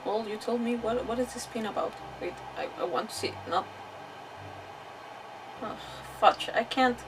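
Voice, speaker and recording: A woman's voice: a little high, moderate pace, far from the mic, noisy background. A woman streaming a video game with casual live commentary, muttering to herself as she reacts. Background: game music.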